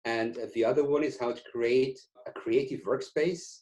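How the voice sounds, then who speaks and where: A man's voice: mid-range voice, fairly even intonation, normal speed, darkish tone, rough recording. A man co-hosting an interview, introducing the topics in a structured way. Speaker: interview co-host.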